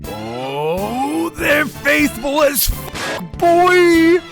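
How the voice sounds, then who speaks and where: Man acting as frenzied hype fanboy for online comedy bit: high-pitched, swooping wildly, stretched whoops, loud music behind. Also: wildly swooping pitch, vocal fry, exaggerated voice. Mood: ecstatic hype. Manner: whooping, drawn-out exclamations. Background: prominent background music.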